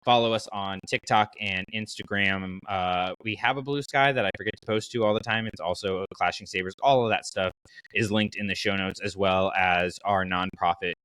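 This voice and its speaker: A man's voice: fairly low pitch, steady tone, moderate pace, a bit bright, smooth, no echo, poor audio. A man hosting a podcast in a casual, enthusiastic and conversational way. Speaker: podcast host.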